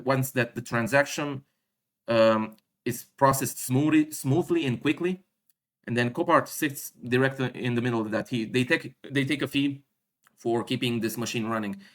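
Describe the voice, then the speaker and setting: A man from Romania, not an English speaker, giving an unscripted stock explainer with frequent fillers, self-corrections and throat clearing. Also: medium pitch, a bit bright. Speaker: stock analysis YouTuber. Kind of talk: casual explainer monologue.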